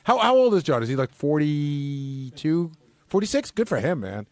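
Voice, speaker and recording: A man's voice: a little high, very animated, normal speed, darkish tone, no echo. A middle-aged man hosting a talk show, arguing his points insistently in unscripted radio talk.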